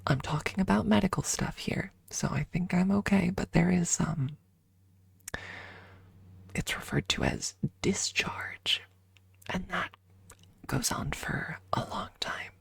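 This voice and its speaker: A woman streaming ASMR, chatting casually and haltingly in a soft, breathy voice that often drops into a whisper, close to the microphone. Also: fairly low pitch, no room sound. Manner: halting, informal, drifting between whisper and soft talk.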